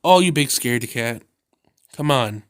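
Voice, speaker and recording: A man's voice: mid-range voice, lively intonation, moderate pace, smooth, no echo, clean studio sound, natural voice. A man reading as a sweet, clingy young woman. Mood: teasing. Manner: coaxing, affectionate. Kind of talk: reading a visual novel aloud.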